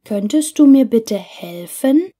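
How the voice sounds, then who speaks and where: A woman's voice: a little high, expressive, slow pace, warm tone, breathy edge. A woman language teacher reading scripted example dialogues for beginners in careful, simple short phrases.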